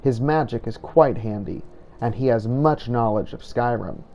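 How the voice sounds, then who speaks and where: A man giving measured, reflective in-character narration of a roleplay video game playthrough. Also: medium pitch, lively intonation, vocal fry, mellow.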